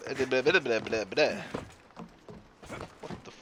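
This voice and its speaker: A man's voice: medium pitch, a little slow, background noise. A man who is not a native English speaker, giving casual, rambling let's play commentary, often interrupting himself. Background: video game music and sounds.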